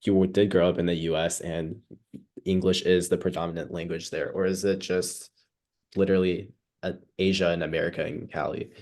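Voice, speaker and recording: Male interviewer, very deep voice; casual, loose questions with stop-start phrasing. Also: flat delivery, brisk, darkish tone. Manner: rambling questions with repeated starts.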